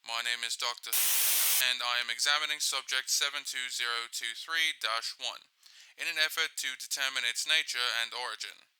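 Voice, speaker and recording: A man's voice: medium pitch, fairly even intonation, normal speed, bright, forceful tone, smooth, no echo, rough recording. A man playing a methodical research scientist, dictating an audio log in a detached, precise, formal way. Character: clinical, methodical male research scientist logging findings.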